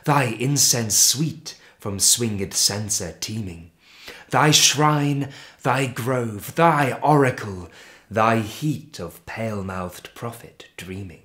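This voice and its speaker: A man reciting poetry in a dramatic, expressive and measured manner, with deliberate pauses. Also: medium pitch. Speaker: poetry reader.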